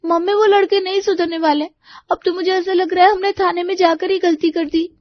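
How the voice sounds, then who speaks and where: A woman's voice: very high-pitched, fairly even intonation, a little fast, no echo, highs cut off. A woman playing an aggrieved young college student, complaining plaintively in story dialogue. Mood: worried.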